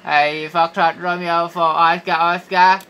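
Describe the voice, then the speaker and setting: Man, Thai accent, ham radio operator, even intonation, bright tone, halting casual delivery. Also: fairly high pitch, smooth. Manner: halting, repeats phrases. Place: small indoor radio room. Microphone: headset.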